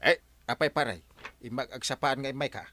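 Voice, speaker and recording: An actor playing a hard-drinking, dim, defensive village captain in an audio drama, muttering and stalling with hesitant interjections. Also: male voice, medium pitch, fairly quick, pushed and bright, natural voice. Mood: evasive. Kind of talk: Ilocano radio-style audio drama acting.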